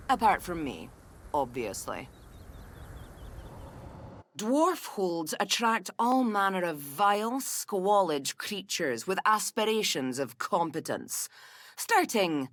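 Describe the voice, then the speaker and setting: A woman's voice: mid-range voice, a bit bright, a little hiss or hum. A woman voicing a haughty, scornful female elf ranger in a video game, speaking in a dry, sardonic, disdainful way. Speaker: voice actor. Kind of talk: video game character voicelines.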